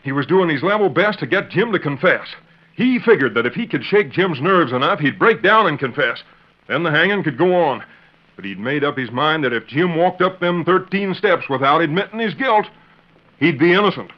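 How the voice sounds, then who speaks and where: A man's voice: a little high, animated, moderate pace, lightly acted. A man playing an earnest, folksy deputy in an old-time radio western, with colloquial, pleading talk. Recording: muffled vintage radio recording.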